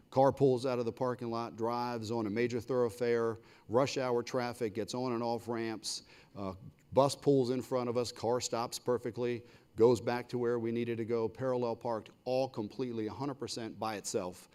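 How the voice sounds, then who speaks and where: A man's voice: medium pitch, a little mellow. A man with an American accent speaking as a university leader on a public panel, semi-formal and enthusiastic, in short punchy phrases.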